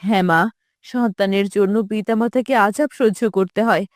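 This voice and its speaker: A woman voicing a pious, caring, sorrowful mother in a cartoon drama, gently admonishing. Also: mid-range voice, normal speed, no echo, clean studio sound, voice pushed down, natural voice. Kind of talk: animated moral-drama dubbing.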